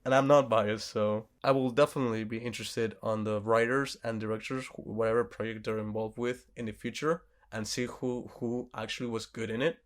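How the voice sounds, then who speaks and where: A man's voice: fairly low pitch, steady tone, brisk, few pauses. A man co-hosting a podcast, talking casually about movies in an informal, sweary, self-correcting way. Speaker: podcast co-host. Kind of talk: casual movie review chat.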